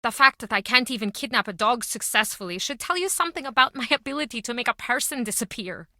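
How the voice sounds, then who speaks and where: A woman's voice: a little high, animated, quick pace, bright, forceful tone, no echo, studio quality, full character voice. An actress playing a guarded, heartbroken townswoman with an earnest, emotional delivery in a mystery video game. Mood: rueful. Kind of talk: dramatic video game voice acting.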